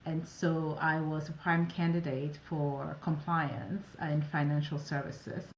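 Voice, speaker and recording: Woman, 40s, native French speaker, deep voice, echoey room, faint background noise. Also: fairly even intonation, slow pace. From Ivory Coast and Ghana. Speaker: guest, operations advisor. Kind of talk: casual interview answers.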